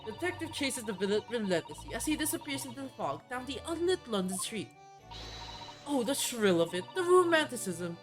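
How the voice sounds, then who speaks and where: A woman's voice: a little high, sing-song, a little fast, soft background music, voice pushed up. A romantic young woman, read aloud by a streamer, gushes wistfully in a raised, swooping voice. Her lines rise and fall with rapturous feeling. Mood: gushing. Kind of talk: reading game dialogue aloud on stream.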